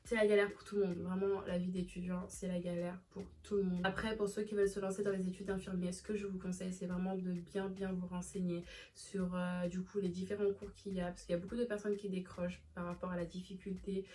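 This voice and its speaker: A woman's voice: on the low side, fast. Young woman vlogger, Marseille accent, chatty and slangy, casual storytime. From Marseille, southern France. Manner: chatty and expressive, slangy, with many fillers.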